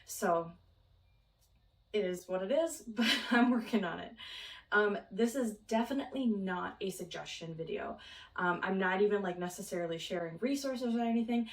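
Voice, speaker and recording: A woman's voice: medium pitch, normal speed. Chatty woman, echoey room, mic far off. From Minnesota, United States. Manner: rambling, many ums, light laughs. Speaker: vlogger. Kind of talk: casual chat. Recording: roomy, from off the mic.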